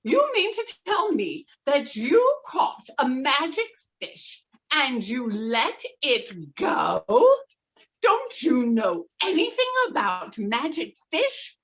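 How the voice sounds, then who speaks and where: A woman voicing a fisherman's wife who scolds him loudly and demands wishes. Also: high-pitched, wildly swooping pitch, slow, recorded from a distance, highs cut off, pitched above the performer's own voice, full character voice. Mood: angry. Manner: scolding, loud.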